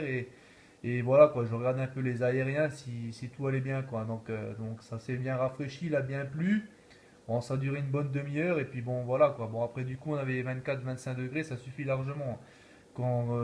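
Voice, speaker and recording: A man's voice: medium pitch, darkish tone, a little hiss or hum. A man chatting casually as an amateur radio operator, often ending his phrases with little tag words. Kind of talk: casual radio contact chat.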